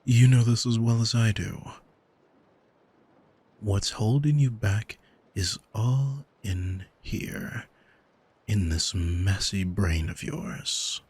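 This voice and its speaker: A man playing an arrogant, manipulative demon in an intimate ASMR roleplay, speaking in a soft, teasing, condescending and commanding way to the listener. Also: lowish voice, slow, husky. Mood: teasing, stern. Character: arrogant male demon, seductive, condescending, coolly manipulative captor. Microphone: close microphone, dry.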